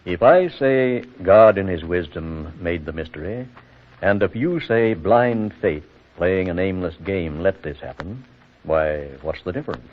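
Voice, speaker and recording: A man's voice: low voice, measured pace. A man playing a kindly, courteous, hesitant older country reverend in a 1940s radio drama. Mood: thoughtful. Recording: tinny, narrow vintage radio recording.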